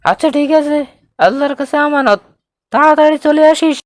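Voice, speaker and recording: A village mother voiced by a woman in a cartoon, speaking in a high voice. She is caring yet firm, laying out instructions and warnings to her child. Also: fairly quick, a little hiss or hum, natural voice. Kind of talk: cartoon voice acting.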